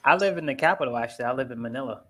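A young man from the United States tutors English over a video call through a headset. His voice is bright and forward. He talks in an easygoing, friendly way and offers words when his student gets stuck. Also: mid-range voice, rapid-fire, slight background noise. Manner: relaxed, easygoing, helpful with corrections. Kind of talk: casual video-call conversation.

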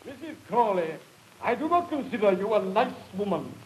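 An actor playing a cowardly, flustered rich gentleman in a 1950s radio drama, stammering and pleading. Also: sits high, sing-song, moderate pace, slightly rough, exaggerated voice, low-quality recording. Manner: stammering, spluttering, panicky.

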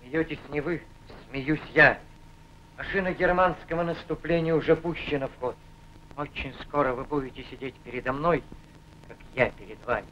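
A captured German major, worn out and strained. The voice sits high, bright and tense, leaping up and down, with faint noise on a muffled 1940s film track. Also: male voice, moderate pace. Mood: arrogant. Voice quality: strained, pleading German speech. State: exhausted. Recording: dull old film soundtrack.

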